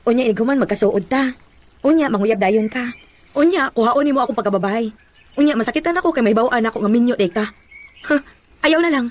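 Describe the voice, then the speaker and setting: An actress playing a guarded, sharp-tongued young shop worker in a radio drama, with a Cebuano Filipino accent. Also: female voice, a little high, fast, pauses often, close to an everyday voice. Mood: guarded, hurt.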